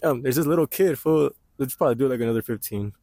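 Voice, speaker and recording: A loud young man chatting casually on a podcast, full of slang and frequent laughter. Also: medium pitch, fast talker, dry recording, clean studio sound. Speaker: podcast co-host. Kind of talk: casual chat. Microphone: portable mic.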